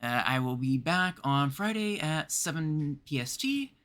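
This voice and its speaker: A man's voice: on the high side, lively intonation, a little slow, fairly bright tone. A man from Canada chatting casually as he livestreams a game.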